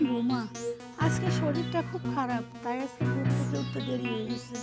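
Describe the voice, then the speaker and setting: A frail old mother-in-law, feverish and meek, pleads in a very high, thin voice, as a woman acts her out. Her words come soft and imploring, over clear background music. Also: a little fast, natural voice. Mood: weak pleading. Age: older. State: feverish and unwell. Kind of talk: melodramatic domestic drama acting.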